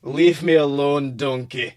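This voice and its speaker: A man's voice: on the high side, steady tone, slow, a little mellow. A man voicing a gloomy, grumpy ogre who tells his sorrows in a melodramatic, dismissive way.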